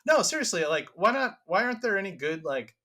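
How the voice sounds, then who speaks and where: A man's voice: high-pitched, very animated, a little fast, pushed and bright. A man co-hosting a podcast, talking casually and earnestly as he argues and explains his point. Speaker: podcast co-host. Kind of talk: casual conversational debate.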